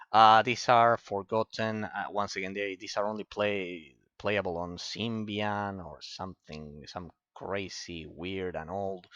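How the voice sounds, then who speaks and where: A man's voice: sits low, bright, forceful tone, smooth, no room sound, dull audio. A man with an Italian accent giving rambling, opinionated commentary on a game collection, with many restarts. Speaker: game reviewer. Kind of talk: casual commentary over game footage.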